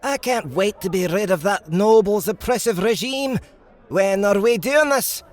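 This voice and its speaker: A man's voice: high-pitched, measured pace, voice pushed up. A man voicing an eager young male paladin who talks bluntly and bitterly in an audiobook.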